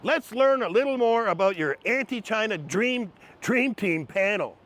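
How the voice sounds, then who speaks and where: A man's voice: high-pitched, animated, normal speed, a bit bright. A man hosting a commentary video, mocking his subjects in a snarky, sarcastic way. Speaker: commentary host.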